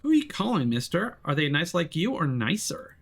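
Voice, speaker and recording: Man putting on an eager, high-pitched little boy. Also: very animated, brisk, vocal fry, fairly bright tone, heavily acted. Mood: curious, friendly. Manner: eager, childlike. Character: eager, friendly little boy playing deputy. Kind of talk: visual novel character voice.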